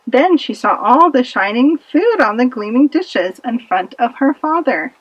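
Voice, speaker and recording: A woman narrating a children's puppet-show fable with expressive, dramatic delivery, in a slightly muffled recording. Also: fairly high pitch, measured pace, no room sound. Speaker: storyteller.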